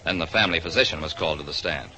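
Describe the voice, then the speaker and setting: A man's voice: extremely low pitch, rapid-fire, sharp tone, exaggerated voice. An actor playing a wry private detective who narrates his case in 1940s radio drama style. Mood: dramatic narration. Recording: noisy vintage radio recording.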